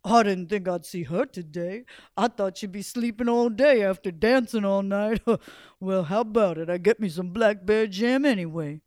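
A woman voicing a scruffy, mischievous old man in a told folktale, speaking in a gruff, folksy way. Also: mid-range voice, normal speed, a little mellow, no echo, clean studio sound, voice pushed down, natural voice. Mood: gloating.

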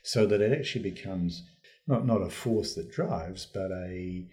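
Low-pitched, mellow man thinking aloud. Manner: halting, searching delivery with reworked phrases. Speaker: interviewee giving his views on politics. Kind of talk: unscripted discussion.

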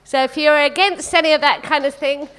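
A woman's voice: high-pitched, fairly even intonation, fairly quick, a bit bright. A woman hosting a live event, making informal announcements to the people there. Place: live literary event before an audience.

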